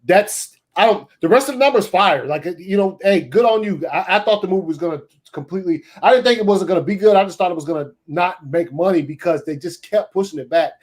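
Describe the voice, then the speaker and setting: A man's voice: sits high, animated, fast, few pauses. A man chatting casually on a streamed panel, emphatic, slangy and freely swearing.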